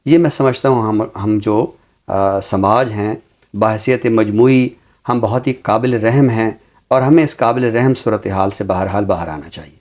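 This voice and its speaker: A man speaking as a commentator on a literary show, in a deliberate, reflective way with emphatic phrasing. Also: lowish voice, darkish tone. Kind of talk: reflective commentary and Urdu poetry recitation.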